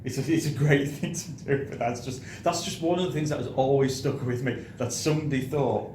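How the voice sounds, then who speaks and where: A British man hosting a film review show in casual chat, speaking haltingly and often repeating his words. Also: mid-range voice, a little mellow. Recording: roomy echo, clearly audible background noise.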